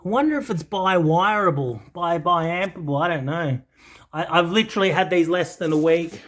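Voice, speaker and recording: A man's voice: a little high, moderate pace, fairly bright tone. A man giving a casual, unscripted video walkthrough in a hesitant, rambling way, often correcting himself. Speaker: audio channel host. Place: indoors, small home study.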